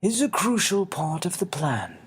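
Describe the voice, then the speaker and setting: Tiny aged fairy gentleman, acted by a male narrator: raised pitch, weary, gentle, deliberate storybook delivery. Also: huge pitch swings, slightly rough, full character voice. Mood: earnest. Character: tiny old winged fairy man, solemn, gentle, weary.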